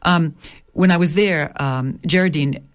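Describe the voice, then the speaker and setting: Reflective woman author, lowish voice. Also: unhurried. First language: English. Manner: reflective, many restarts and fillers. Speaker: author and midwife. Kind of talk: interview conversation.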